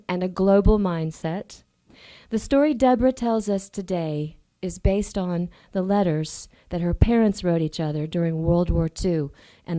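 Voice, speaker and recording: A woman's voice: on the low side, mellow. A woman emceeing an event, reading out names and an introduction, stumbling over a few names.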